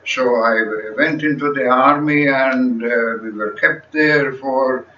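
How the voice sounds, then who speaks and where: A man of about a hundred with a Latvian accent, slowly recounting his life story in an interview, pausing often and filling gaps with "uh". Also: mid-range voice, fairly even intonation, bright, forceful tone. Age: 100s. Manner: halting, many pauses and "uh" fillers. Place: small room at home, seated in an armchair. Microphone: camera across the room. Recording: echoey room, distant camera mic, dull sound, faint hiss.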